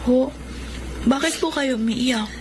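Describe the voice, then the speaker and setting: A sensible young Filipino daughter, high-voiced and caring. The delivery is radio drama, with faint noise behind it. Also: female voice, slow. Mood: worried.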